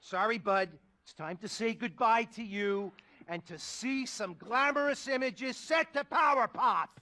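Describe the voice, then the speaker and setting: Pompous, cynical finance tycoon for a satirical game film, high-pitched, music underneath. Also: male voice, a little slow, pushed and bright, exaggerated voice. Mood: brusque, contemptuous. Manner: pompous, contemptuous, theatrical. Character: rich, ruthless, cynical male finance tycoon mentor. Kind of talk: satirical video game movie acting.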